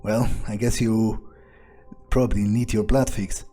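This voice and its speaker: A man's voice: fairly low pitch, steady tone, unhurried, warm tone, faint music behind. A man voicing a cynical, suspicious vampire in an in-character game roleplay, musing hesitantly and swearing freely. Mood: startled, contemptuous. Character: cynical, suspicious, foul-mouthed young male vampire, thinking aloud.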